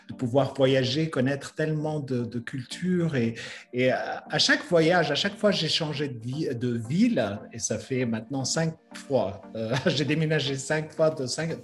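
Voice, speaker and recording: A middle-aged man from Lebanon speaking effusively and haltingly in an interview over a video call, dropping in English words. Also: mid-range voice. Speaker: guest, fashion creative director. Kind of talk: enthusiastic conversational interview. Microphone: remote video call.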